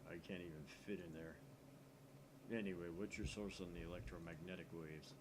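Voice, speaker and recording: A man's voice: low voice, fairly even intonation, a little fast, background noise, pitched below the performer's own voice, close to an everyday voice. A man voicing a sceptical young hacker sidekick in a visual novel, dry and deflating. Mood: unimpressed.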